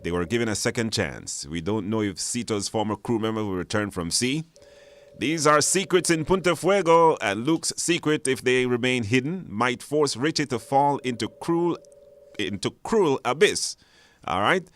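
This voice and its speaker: A man's voice: mid-range voice, animated, normal speed, a bit bright. Man hosting a radio call-in. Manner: repeats phrases, chatty and informal. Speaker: radio show host. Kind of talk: live radio hosting, taking phone-in calls. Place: radio studio.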